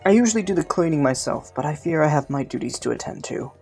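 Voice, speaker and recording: A woman playing a low-voiced, courtly young prince. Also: animated, fast, husky, heavily acted. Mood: amused, teasing. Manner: polite, hesitant, softly teasing. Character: kind, playful, rambling young prince with courtly manners. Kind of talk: intimate roleplay audio drama. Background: faint music.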